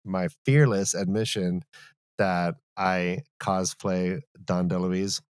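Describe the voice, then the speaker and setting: A man's voice: deep voice, fairly even intonation, takes it slowly, clear, resonant tone, dry recording, studio quality. A man co-hosting a casual book podcast, talking in a jokey, mock-serious way. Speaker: co-host of a fantasy book re-read podcast.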